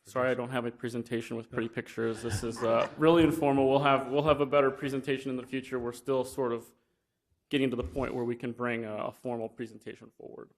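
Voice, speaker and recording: Flat-voiced young man presenting informally. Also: mid-range voice. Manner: plain, halting, many fillers and restarts. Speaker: wind energy business developer. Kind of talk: informal presentation at a public meeting. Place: county commission meeting room.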